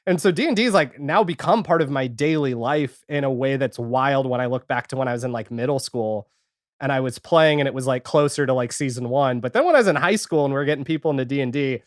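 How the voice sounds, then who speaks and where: A man's voice: medium pitch, quick pace, no echo, studio quality. A man speaking as a podcast guest in casual chat, telling stories in a rambling way and often acting out his own remarks as quotes. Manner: rambling, self-quoting storytelling. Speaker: podcast guest, audio fiction creator and editor.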